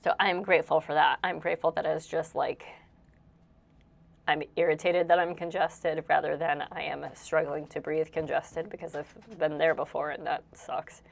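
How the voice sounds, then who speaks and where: A woman's voice: sits low, little pitch movement, moderate pace, creaky. A young woman, slightly congested, chatting casually and rambling as she narrates her house cleaning with frequent laughs. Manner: rambling, self-deprecating, laughs often. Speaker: cleaning vlogger.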